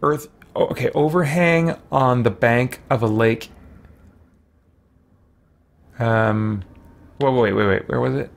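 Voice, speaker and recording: A man's voice: medium pitch, slow, creaky, pauses often. A man in his forties giving casual gameplay commentary as a streamer, musing and hesitant as he thinks aloud. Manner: hesitant, musing, talking to himself. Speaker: game streamer. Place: small indoor room at home with shelves.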